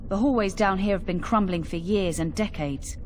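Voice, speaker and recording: A woman's voice: mid-range voice, a little hiss or hum, lightly acted. An actress voicing a plain-spoken, dutiful female keep sergeant in a video game. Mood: concerned, reporting. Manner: brisk, matter-of-fact, earthy.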